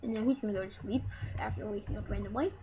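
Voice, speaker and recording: A woman's voice: mid-range voice, quick pace, mellow. A woman hosting a let's play, rambling and joking with casual swearing as she comments and reads game dialogue aloud. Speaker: let's play host and player. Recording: muffled lo-fi mic with background noise.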